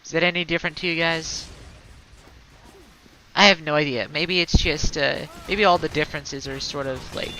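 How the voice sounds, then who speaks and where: A woman's voice: low voice, fairly even intonation, normal speed, bright, forceful tone, a little hiss or hum, poor audio. A woman streaming a game in casual, rambling chatter, slightly stuttering and congested from allergies. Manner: rambling, heavy on fillers and restarts.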